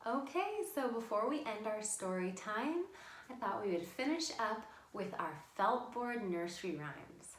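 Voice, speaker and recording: In a roomy, echoing space, a woman leads storytime for small children. Her voice swings widely in pitch and takes its time, with a sing-song, encouraging delivery and simple wording aimed straight at the kids. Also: expressive, unhurried. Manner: encouraging, simple direct address to young children. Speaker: children's librarian hosting storytime. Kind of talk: children's storytime with read-aloud, songs and rhymes. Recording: roomy, echoing indoor sound.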